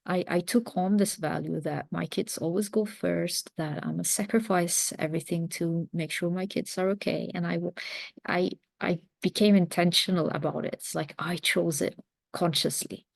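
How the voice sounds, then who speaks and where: A woman's voice: fairly low pitch, steady tone, normal speed, gravelly, dry recording. A woman of around forty, giving an earnest, reflective personal talk as a coach. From mountains of Lebanon. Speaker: parenting coach, series host. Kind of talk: personal talk introducing a series.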